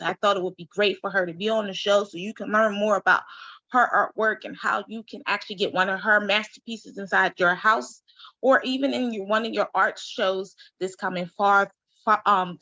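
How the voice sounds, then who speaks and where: A woman hosts an interview with a bright, forceful tone and lively enthusiasm. Her voice sounds dull and lo-fi, recorded dry with no room echo. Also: mid-range voice. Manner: enthusiastic, exclamatory reactions. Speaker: show host. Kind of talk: upbeat interview hosting.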